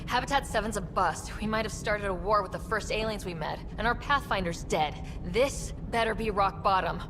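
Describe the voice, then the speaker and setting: A woman's voice: mid-range voice, normal speed, natural voice. A woman playing a young, wry and direct space explorer with a casual, dry manner in a video game. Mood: wry, wary. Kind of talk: video game cutscene dialogue. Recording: clear background noise.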